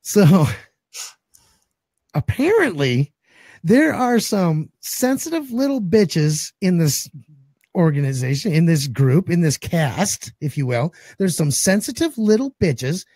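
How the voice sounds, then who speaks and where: A man's voice: on the high side, sing-song, slow pace, a little mellow, breathy edge, dry recording, clean studio sound. A man in his forties co-hosting a casual talk show, joking and cursing bluntly, his voice still cracking after losing it. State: recovering voice, some cracking. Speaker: podcast co-host. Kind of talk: casual chat.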